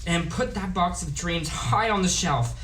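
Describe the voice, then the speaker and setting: A woman's voice: lowish voice, a little slow, fairly bright tone, echoey room, a little hiss or hum. A woman playing an anxious, meandering young musical dreamer, hesitant and wryly comic, in a script table read of a musical comedy. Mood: wistful, anxious.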